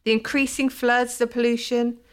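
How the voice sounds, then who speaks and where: A woman acting in a radio play as an expressive, candid townswoman. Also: fairly high pitch, normal speed, natural voice. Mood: engaged. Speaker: community theatre actor.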